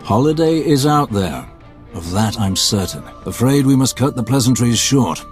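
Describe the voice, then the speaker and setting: An actor playing a courteous, wry, seasoned scout in a video game, composed and genteel. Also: male voice, medium pitch, expressive, normal speed, faint music behind, close to an everyday voice. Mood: courteous, grave.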